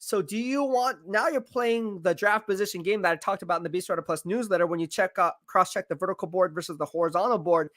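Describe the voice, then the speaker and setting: A man live-streaming football draft commentary, excitable and hyping each pick, his voice going hoarse. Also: sits high, fast talker, hardly any pauses, no echo, clean studio sound. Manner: excitable, hypes picks, reads chat aloud. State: losing his voice.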